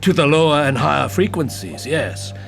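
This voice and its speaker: Gruff detective, swinging pitch, over music. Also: male voice, big pitch swings, normal speed. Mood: terse, probing. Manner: blunt, terse, swearing. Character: gruff, unkempt senior male detective, jaded hard drinker. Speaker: senior detective. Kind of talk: audio drama acting. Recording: music behind the voice.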